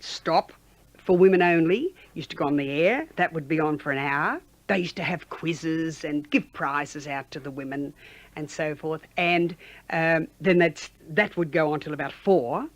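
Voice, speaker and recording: A woman's voice: low-pitched, dry recording, highs cut off. A woman, a former radio announcer, chattily recalling her early career in an interview. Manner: rambling, conversational recollection.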